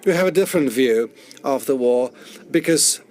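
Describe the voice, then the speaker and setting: A man's voice: medium pitch, lively intonation, normal speed, a little mellow. A man doing simultaneous interpretation at a conference, often restarting and rephrasing as he renders the speaker's words.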